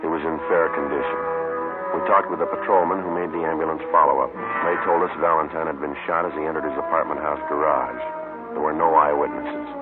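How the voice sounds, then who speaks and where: An actor playing a terse, businesslike police sergeant in a 1950s radio drama, clipped, deadpan and matter-of-fact. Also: male voice, very deep voice, a little fast. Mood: dry, factual. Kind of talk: 1950s radio drama acting with scripted narration. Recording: muffled narrow-band old radio recording, loud music.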